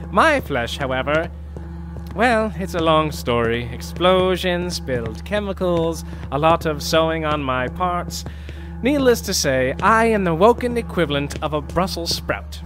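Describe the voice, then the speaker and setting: Theatrical, slow-talking undead mad scientist. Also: male voice, on the high side. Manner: theatrical, pompous, drawn-out delivery. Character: eccentric undead mad scientist, wry, vengeful, theatrical male doctor. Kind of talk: audio drama acting.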